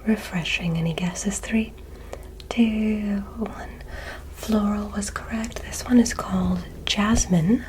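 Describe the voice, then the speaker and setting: A woman doing an ASMR roleplay as an examiner, whispering breathily with gentle, careful, encouraging instructions. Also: mid-range voice, fairly even intonation, slow pace, slight background noise, rough recording. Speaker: ASMR performer role-playing an alien medical examiner.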